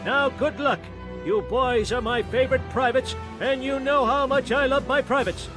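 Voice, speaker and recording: An old army commander with an extremely high cartoon voice. He briefs his troops grandly and sentimentally over clearly audible game music. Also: steady tone, normal speed. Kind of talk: cartoon war-briefing parody acting.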